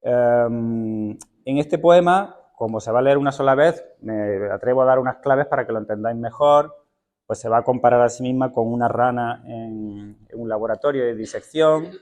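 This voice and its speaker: A man introducing and reading a poem aloud at a literary event, speaking in a thoughtful, unhurried way with some hesitant fillers. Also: medium pitch, a little mellow. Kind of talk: poetry reading with spoken introduction.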